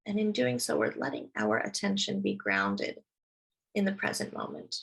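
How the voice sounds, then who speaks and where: A woman leading a guided meditation, speaking slowly and soothingly as she gives gentle instructions. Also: very deep voice, big pitch swings, vocal fry, slightly rough.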